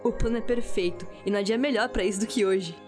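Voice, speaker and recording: A woman's voice: medium pitch, fast, a little mellow, soft background music, close to an everyday voice. A woman playing a scheming, taunting teenage older brother in an audio drama.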